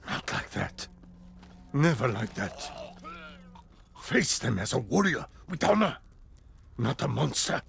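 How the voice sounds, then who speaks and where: A man acting a stern, dignified middle-aged samurai lord in a game cutscene, speaking formally with measured command. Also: mid-range voice, sing-song, gravelly, fairly bright tone, full character voice. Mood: urgent reproach.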